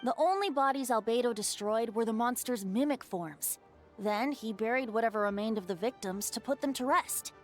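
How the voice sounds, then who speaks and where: A woman's voice: on the high side, normal speed, natural voice. A woman voicing a playful, theatrical young male bard in a video game. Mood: explaining. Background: game music.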